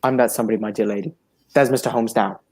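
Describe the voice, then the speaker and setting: An actor playing a loyal, earnest gentleman sidekick in old-style radio drama, speaking politely and inquisitively. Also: lowish voice, steady tone, fast talker, husky. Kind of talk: 1940s radio drama acting.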